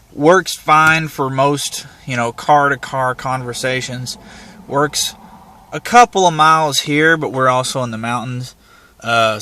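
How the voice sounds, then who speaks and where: A man's voice: mid-range voice, bright, forceful tone, slight background noise. A man giving a casual hands-on how-to demonstration, talking in a plain, folksy, informal way. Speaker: amateur how-to presenter. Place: in and around parked vehicles.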